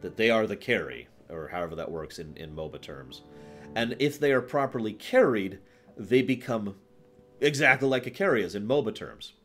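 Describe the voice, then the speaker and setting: A man's voice: lowish voice, expressive, normal speed, a bit bright, faint music behind. A man hosting a livestream, chatting casually about video games in an emphatic, explanatory way, often checking that listeners follow. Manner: emphatic, explanatory, checks understanding with short tag questions. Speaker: livestream host.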